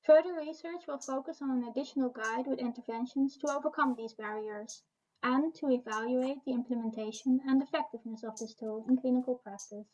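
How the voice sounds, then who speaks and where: A woman giving a formal research presentation in a steady reading voice. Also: high voice, brisk, dull audio.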